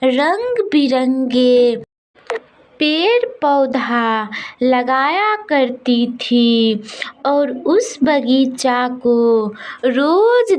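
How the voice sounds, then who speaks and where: Female narrator for children's spooky cartoon tale: fairly high voice, very unhurried, simple repetitive telling. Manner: simple, repetitive oral storytelling. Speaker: storyteller. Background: music.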